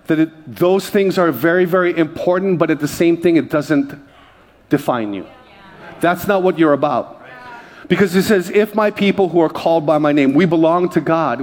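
A man from Hawaii preaching a sermon, exhorting the congregation and calling out for amens, his voice swinging between loud high bursts and low quiet asides. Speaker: pastor.

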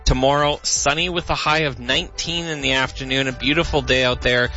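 A man reading the weather and traffic on the radio in a brisk, matter-of-fact way. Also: mid-range voice, steady tone, sharp tone. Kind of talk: scripted radio report. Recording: lo-fi radio broadcast with music bed.

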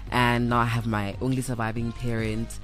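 Deep-voiced young South African woman. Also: level tone, moderate pace, faint music behind. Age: young adult. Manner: candid, playful, code-switches into Zulu. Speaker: interview guest, influencer. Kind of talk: casual radio interview, storytelling.

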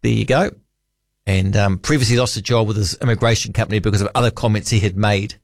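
A man's voice: fairly low pitch, quick pace, gravelly, dry recording, clean studio sound. Wry, chatty New Zealand radio host man. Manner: chatty and wry, musing aloud. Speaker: radio talk show host. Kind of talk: casual late-night radio chat. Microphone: studio microphone.

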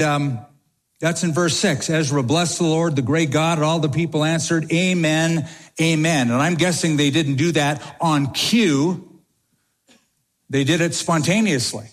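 A man's voice: on the high side, in a large hall. A man preaching an expository sermon from the pulpit of a church, driving points home with repeated phrases. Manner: emphatic, repeats key phrases. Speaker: pastor. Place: church sanctuary.

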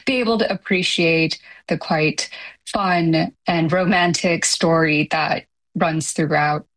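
A woman from Taiwan speaks as a translator being interviewed. Her voice sits a little low, and she lays out her thoughts in articulate, measured sentences. Now and then she slows to weigh a word. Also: fairly even intonation, fairly bright tone, low-quality recording. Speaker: literary translator, guest.

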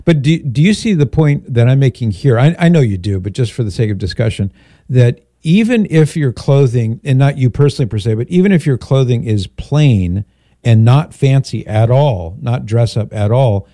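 A middle-aged man hosting a call-in radio show, giving measured, gently persuasive pastoral advice to callers. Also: medium pitch, animated, no echo, studio quality.

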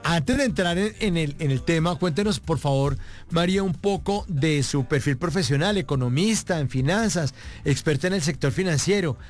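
A man's voice: on the high side, normal speed. A man hosting a radio interview, with a joking, teasing manner and frequent laughs. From Colombia. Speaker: radio show host. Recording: music playing faintly underneath.

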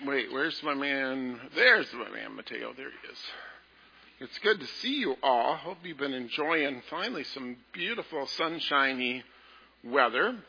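A man leading a children's message in a church service, talking to the children in a gentle, playful way. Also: medium pitch, breathy edge. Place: large church sanctuary. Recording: echoey hall, dull lo-fi sound.

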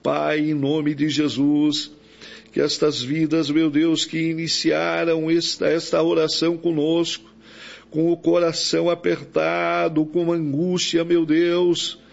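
Male Brazilian evangelical preacher, slightly high gravelly voice, pleading prayer full of invocations, dull recording. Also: completely flat delivery, takes it slowly. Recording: dull, lo-fi audio.

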